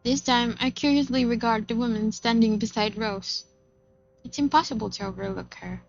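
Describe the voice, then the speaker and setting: A woman's voice: fairly high pitch, normal speed, creaky, muffled recording. A woman streamer reading visual novel narration aloud, with casual reactions of her own.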